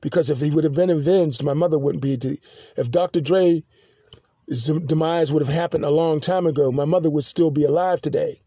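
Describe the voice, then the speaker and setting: A man hosting a podcast, telling a long personal story in a halting, rambling monologue full of restarts and fillers. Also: a little high, steady tone, mellow, slightly rough, no room sound, clean studio sound. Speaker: podcast host.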